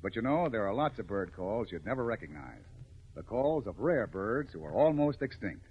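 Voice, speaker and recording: An actor playing a calm, knowledgeable outdoorsman hero in a 1950s radio adventure, speaking instructively. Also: on the low side, normal speed, slightly rough, heavily acted. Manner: instructive, encouraging. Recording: tinny, slightly noisy old radio.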